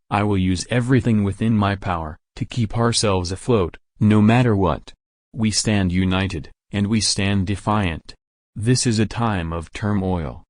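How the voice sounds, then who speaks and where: Man playing low-voiced, resolute embattled president. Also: a little mellow, no echo, studio quality, lightly acted. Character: defiant, resolute president addressing a besieged nation. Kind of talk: scripted patriotic speech.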